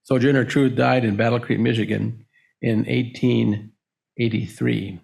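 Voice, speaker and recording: A man reading scripted biographical narration in a measured, formal way, as a minister introducing a reading. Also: mid-range voice, fairly even intonation, vocal fry, darkish tone.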